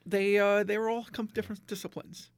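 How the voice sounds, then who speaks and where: A man's voice: high-pitched, flat delivery, brisk, clear, resonant tone, no room sound, studio quality. A man from New Jersey, a community theater volunteer, talking casually in an interview, rambling with lots of fillers and restarts.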